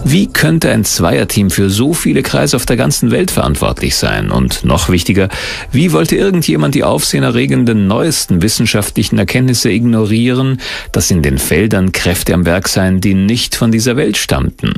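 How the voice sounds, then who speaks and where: A man's voice: lowish voice, sing-song, normal speed, gravelly, breathy edge. A man narrating a documentary from a script in a dramatic, suspenseful manner.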